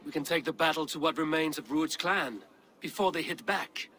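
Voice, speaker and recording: An actor playing a resolute Viking warrior leader in a video game cutscene, speaking firmly and plainly. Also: male voice, on the high side, normal speed, sharp tone, slight background noise. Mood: resolute, planning. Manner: firm, decisive, plain-spoken.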